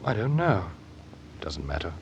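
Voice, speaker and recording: An intense, bitter young man in a mid-century radio thriller. His pitch swings widely as he moves between muttering and outbursts, speaking in clipped phrases. The old recording carries faint noise. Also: big pitch swings, unhurried, muffled recording. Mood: bitter, defiant. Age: young adult. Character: John Burns, bitter, hot-tempered young man hiding a killing. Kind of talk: mid-century American radio drama acting.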